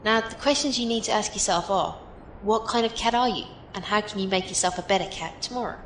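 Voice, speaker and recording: A woman's voice: fairly quick, lightly acted. A woman playing a plainspoken, philosophical survivor in an audio drama, giving encouraging, matter-of-fact advice. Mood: frank, encouraging. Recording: roomy echo, faint background rumble.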